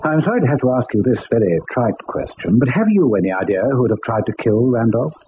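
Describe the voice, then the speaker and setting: A seasoned, methodical police inspector, brought to life by an actor, in an old radio mystery. He is polite but firm, with a lively, rising and falling delivery. The broadcast sounds thin and phone-like, with a loud hiss behind it. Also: mid-range voice, lightly acted. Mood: measured. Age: older. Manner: measured, polite, authoritative. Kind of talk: vintage radio drama acting.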